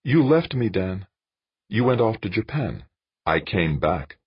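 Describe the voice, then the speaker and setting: Audiobook role: anguished woman in love, earnest, raised voice pitch, slightly husky male reader. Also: big pitch swings, unhurried, dry recording, muffled recording, full character voice. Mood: strained, reproachful. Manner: earnest, pleading. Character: adult woman politician, anguished, torn, pleading lover. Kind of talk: audiobook character dialogue.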